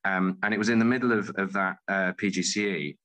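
A man in his forties talking casually as an interview guest, halting and often interrupting himself. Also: low-pitched, steady tone, fairly bright tone. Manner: halting, self-interrupting, informal. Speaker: guest, playwright.

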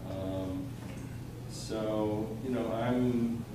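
A man in his sixties gives earnest, reflective remarks to a community audience, pausing to find his words. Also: on the low side, steady tone. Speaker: event organizer. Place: community center hall, indoors. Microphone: far camera in the audience. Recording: echoey hall from far off, noisy.

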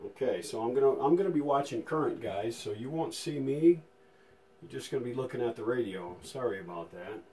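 A man's voice: medium pitch, lively intonation, brisk, a little mellow. A man giving a casual hands-on demonstration as a vintage radio restorer, thinking aloud in an informal, tentative way.

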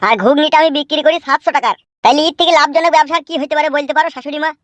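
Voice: high voice, steady tone, very fast, a bit bright, no echo. A voice actor playing a naive, stubborn and boastful teenage boy suitor in a comic cartoon. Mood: earnest, boastful. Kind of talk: comic cartoon dialogue in rural speech.

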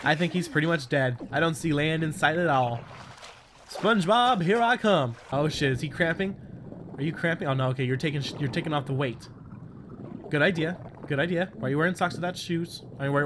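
A man giving casual, profane and excitable game commentary, talking straight to the character he is playing. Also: on the high side, fast talker, noisy background. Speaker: gaming video host. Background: game audio of boat and water.

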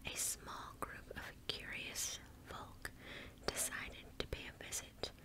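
A woman in her thirties gently reading a story in an ASMR style, whispering close to the mic with careful, deliberate phrasing. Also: poor audio. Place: small indoor space in front of a curtain.

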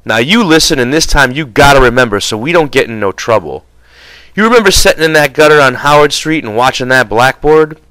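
A man voicing a small, sharp, irritable itinerant ranch hand who scolds in folksy rural slang. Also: mid-range voice, expressive, fairly quick, no echo, pitched above the performer's own voice, low-quality recording. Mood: exasperated.

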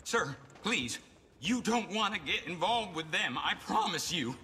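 A frightened doctor in a western game. His high voice moves up and down a lot as he hesitates nervously. Loud noise runs behind him. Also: animated, a little slow, full character voice. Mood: nervous pleading. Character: timid, frightened male frontier doctor, pleading. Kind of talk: video game acting.

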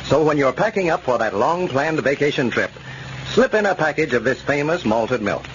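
A man's voice: fairly high pitch, lively intonation, moderate pace. An American man announcing in a scripted 1940s radio style. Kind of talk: 1940s radio narration and sponsor read. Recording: muffled, hissy old radio recording.

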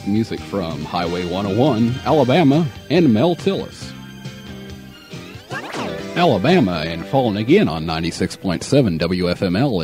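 A young American man reading local radio announcements in a measured, formal announcer manner, on an old aircheck tape. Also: low-pitched, darkish tone. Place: radio studio.

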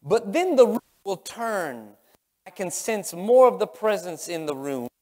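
A man's voice: sits high, big pitch swings, measured pace. A middle-aged man preaching a sermon in an emphatic, repetitive way from a church stage. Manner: emphatic, repetitive, swinging from loud exclamations to hushed murmurs. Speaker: pastor preaching. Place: large church sanctuary, on stage. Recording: long hall reverb from sanctuary.

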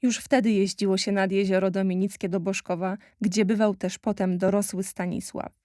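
Lektorka o łagodnej, miękkiej barwie. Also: female voice, mid-range voice, flat delivery, moderate pace, mellow, no room sound, clean studio sound. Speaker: narrator. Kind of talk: scripted documentary narration.

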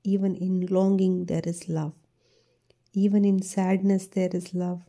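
A woman speaking an intimate romantic monologue to a loved one, soft and tender, sometimes whispering. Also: on the low side, level tone, a little slow.

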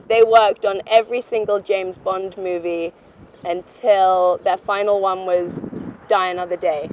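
A woman's voice: mid-range voice, slight background noise, poor audio. A woman in her twenties, born in England, answering interview questions about her stunt work. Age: 20s.

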